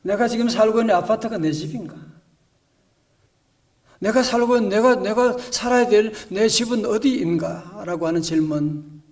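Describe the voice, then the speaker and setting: A male pastor preaching a storytelling sermon in a church, moving between hushed and emphatic delivery. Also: sits high, normal speed, distant mic. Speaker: senior pastor. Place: church sanctuary.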